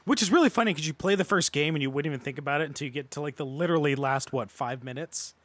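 A man's voice: on the high side, racing, a bit bright, no echo. A man chatting casually as a podcast co-host, with sarcastic, profane humour. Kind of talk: casual comedic chat.

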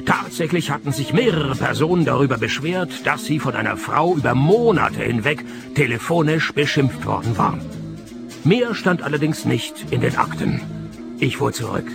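A world-weary private eye in a radio play. His mid-pitched voice is creaky and gravelly, delivered dry, laconic and sardonic, with music clearly behind it. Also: normal speed. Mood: deadpan. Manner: deadpan, laconic. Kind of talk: radio play acting with first-person narration.

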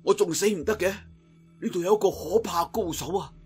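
A man's voice: high voice, moderate pace, darkish tone, husky, faint music behind, voice pushed up. A man voicing a wounded, stubborn martial-arts fighter who speaks with strained defiance through gritted teeth.

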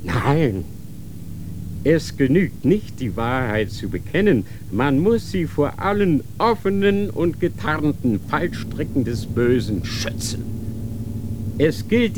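An actor playing a cold, stern inquisitor who lectures with rhetorical questions. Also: male voice, a little high, very animated, takes it slowly, background noise. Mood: severe. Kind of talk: radio drama acting.

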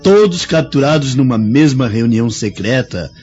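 A man's voice: medium pitch, animated, moderate pace, a little mellow, lightly acted. An actor playing a gossiping Roman man in a theatrical radio drama. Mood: gossipy. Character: Roman man gossiping about captured prisoners. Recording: muffled radio drama, music behind.

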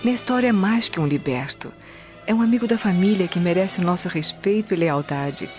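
A woman's voice: medium pitch, lively intonation, moderate pace, natural voice. A woman with a Brazilian accent playing a gracious, loyal Roman noblewoman in a radio drama. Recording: dull lo-fi audio under loud music. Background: music.

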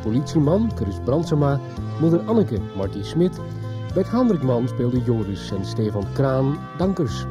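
Man announcer reading radio soap credits, lively pitch, music under. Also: a little slow. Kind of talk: scripted narration, reading credits. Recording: music behind the voice.

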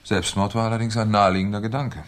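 An actor playing a shrewd, sardonic French police commissioner in a German radio crime drama, with a dry, probing manner. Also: male voice, lowish voice, level tone, fast talker, natural voice. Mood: skeptical, probing.

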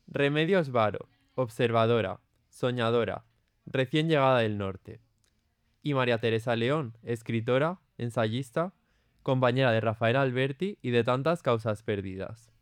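A man's voice: mid-range voice, measured pace, clean tone, no room sound. Male narrator for audio drama: clean resonant voice, solemn literary read, dry booth sound. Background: music.

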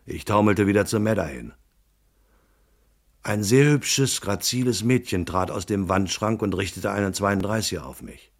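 A noir private eye, low voice, deadpan, laconic, radio play narrator. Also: male voice, normal speed. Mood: dry, weary. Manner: terse, dry, deadpan. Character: hard-boiled male private detective, laconic and world-weary. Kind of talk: first-person noir radio drama narration with dialogue.

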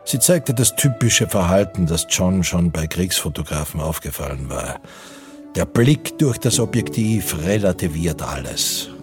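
An actor as the sober narrator of a radio play, reading a scripted literary account in a measured, detached manner. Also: male voice, low-pitched, expressive, music in the background. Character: sober male narrator of wartime novel-like account.

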